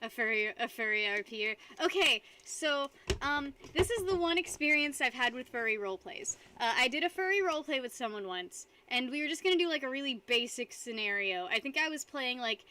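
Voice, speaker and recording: A woman's voice: high-pitched, lively intonation, brisk, hardly any pauses, pushed and bright, slight background noise. A young woman streaming live, chatting casually with her viewers and rambling between frequent giggles. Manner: giggly, rambling, reads chat aloud.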